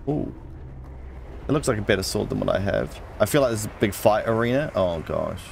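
A man's voice: fairly low pitch, animated, a little fast, vocal fry, a little mellow, slight background noise. A man giving casual let's play commentary, thinking aloud to himself as he plays. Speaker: gaming channel host. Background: game music.

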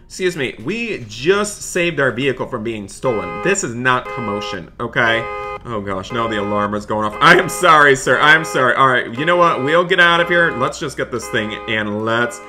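A man hosting a gaming roleplay as a dad, narrating excitedly in a dramatic, exasperated way and repeating himself. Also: mid-range voice, moderate pace. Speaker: gaming video host playing a dad in roleplay. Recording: background music behind voice. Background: music.

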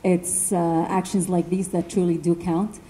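Woman from Lebanon, measured panel talk, very mellow tone, lowish pitch. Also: flat delivery. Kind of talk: personal testimony talk. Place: university classroom.

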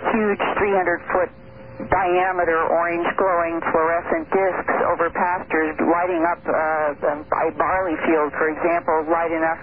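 A middle-aged woman speaking as a guest on a radio interview, telling her findings in an earnest, measured, detailed way over the phone. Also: fairly low pitch, little pitch movement, a little hiss or hum. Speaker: guest investigator. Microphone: phone call into radio show. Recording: tinny phone line on old radio broadcast.

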